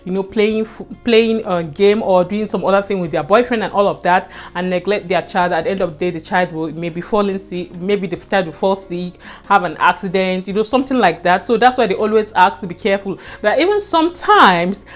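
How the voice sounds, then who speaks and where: A woman's voice: medium pitch, fairly quick, hardly any pauses. Earnest Nigerian woman telling a story. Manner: earnest, repeats herself, frequent "you know" asides. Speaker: vlogger. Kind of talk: casual storytelling to camera.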